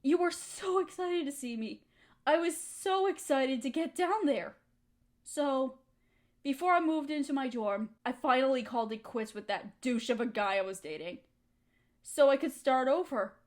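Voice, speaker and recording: A woman's voice: high voice, lively intonation, normal speed. A woman playing a hurt, resentful young woman confronting her roommate in an emotional, accusatory audio roleplay monologue. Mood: bitter, reminiscing. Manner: emotional, accusatory, pointed rhetorical questions.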